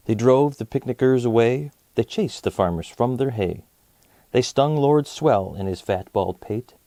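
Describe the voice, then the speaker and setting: Very animated mellow male children's storyteller. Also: mid-range voice, no echo. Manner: expressive, rhythmic verse reading. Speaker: host of children's story channel.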